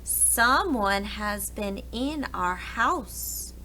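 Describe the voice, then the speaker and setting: A woman voicing a worried, motherly bear in a children's storybook, speaking with breathy dismay. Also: medium pitch, animated, extremely slow, bright, forceful tone, slight background noise, full character voice, rough recording. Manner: gasping, dismayed. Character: medium-sized worried motherly Mama Bear.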